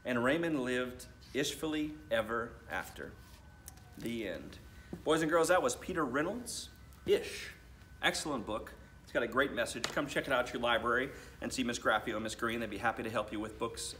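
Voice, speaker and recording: An American man, a school principal in his thirties, reading a storybook aloud to children with deliberate phrasing and addressing them directly. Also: medium pitch, a bit bright, slight background noise. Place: school library, indoors.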